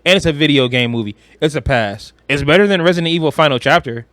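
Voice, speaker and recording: Male podcast co-host, slightly high voice, dry close sound, casual, opinionated, swears freely. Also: fast, fairly bright tone, no room sound. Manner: slangy, profane, opinionated. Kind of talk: casual chat about movies and games.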